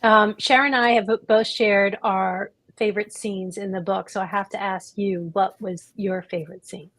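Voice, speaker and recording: A woman's voice: medium pitch, fairly even intonation, normal speed, a bit bright, no echo. A woman co-hosting a casual book club chat over a video call and speaking conversationally. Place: live online video call.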